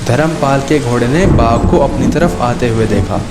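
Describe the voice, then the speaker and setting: A man's voice: mid-range voice, normal speed, reverberant, over loud music. A man narrating a scripted children's story as a storyteller.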